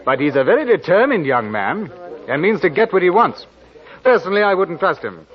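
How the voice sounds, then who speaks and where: An actor playing a teasing young Russian gentleman, arch and wry, in a 1940s radio drama. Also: high-pitched, very animated, a little fast. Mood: urbane, wry. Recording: muffled vintage 1940s radio recording.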